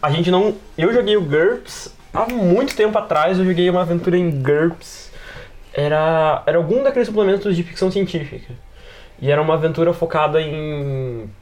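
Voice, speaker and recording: A man's voice: on the high side, moderate pace, darkish tone. A man with a Brazilian accent chatting casually on a games podcast, rambling informally with lots of filler words. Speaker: podcast panelist.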